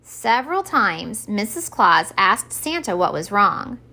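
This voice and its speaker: A woman reading aloud scripted narration from a children's storybook in an expressive story time style. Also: medium pitch, slow.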